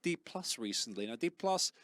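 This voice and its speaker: A man's voice: mid-range voice, big pitch swings, normal speed, fairly bright tone, dry recording. A young man giving casual esports analysis, rambling and correcting himself as he goes, with lots of fillers. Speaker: esports caster.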